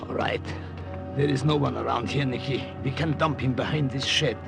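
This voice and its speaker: An actor playing a mild, cautious professor working as an undercover spy, speaking hesitantly in a 1950 radio drama. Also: male voice, mid-range voice, fairly even intonation, moderate pace, vocal fry, natural voice. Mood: brisk. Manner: hesitant, careful. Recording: vintage radio recording, loud music behind.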